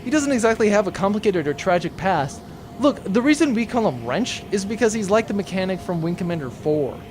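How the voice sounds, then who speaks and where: A man's voice: sits high, big pitch swings, fairly quick, a little mellow, a little hiss or hum. Man as a chatty, flippant captive spaceman. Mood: flippant, nervous. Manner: rambling, casual, snarky. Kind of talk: sci-fi audio drama acting.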